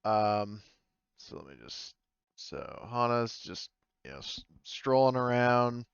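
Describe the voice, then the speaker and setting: A man acting as game master of a tabletop roleplaying game, improvising casually with halting explanations. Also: medium pitch, expressive, smooth, dry recording, highs cut off.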